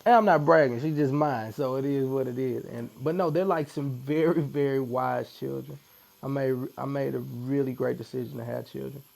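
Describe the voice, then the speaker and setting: A man's voice: mid-range voice, moderate pace, vocal fry. A man in his forties from Missouri, chatting casually and joking as a guest while getting tattooed. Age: 40s. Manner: relaxed, joking, laughs often. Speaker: podcast guest. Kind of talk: casual conversation. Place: tattoo studio, being tattooed.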